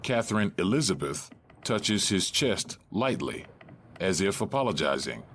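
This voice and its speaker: A man's voice: sits low, sing-song, unhurried, a bit bright, heavily acted. A man narrating a stage drama, reading the scripted stage directions plainly. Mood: neutral. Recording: faint background noise.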